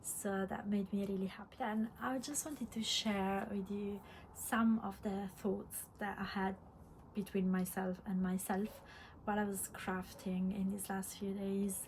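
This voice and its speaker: A woman's voice: mid-range voice, flat delivery, measured pace, a little mellow. Italian-accented woman, noisy recording. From Italy. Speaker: podcast host, crafter. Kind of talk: casual vlog chat. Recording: clear background room noise.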